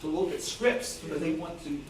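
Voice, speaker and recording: A man's voice: on the high side, fairly quick. A man chatting informally as a member during a club discussion. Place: indoor club meeting, far from mic. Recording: echoey room, distant mic, noisy background.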